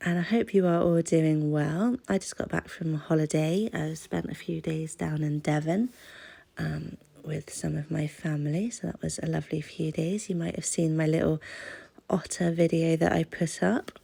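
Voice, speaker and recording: British lady w a cold doing chatty crafting voiceover, husky n mellow, rambles a bit. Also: low-pitched, fairly even intonation, moderate pace. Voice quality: congested, stuffy-nosed. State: has a cold. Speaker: craft channel host. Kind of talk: casual craft voice-over, chatty.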